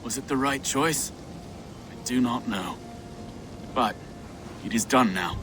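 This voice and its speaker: A man's voice: mid-range voice, slow, close to an everyday voice. An actor voicing a troubled, pious Saxon man who speaks in a hesitant, guilt-torn way. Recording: game cutscene with loud rain noise.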